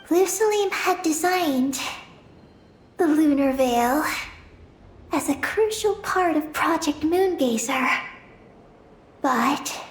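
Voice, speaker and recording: A woman playing a small, calm, knowing girl in a video game, speaking in a measured, halting, matter-of-fact way. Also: high voice, roomy sound.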